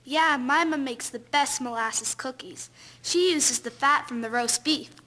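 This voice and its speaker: Bright, high schoolgirl, acted by a woman. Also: a little slow, full character voice. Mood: friendly. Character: friendly, eager teenage schoolgirl, 1920s. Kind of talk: scripted audio drama acting.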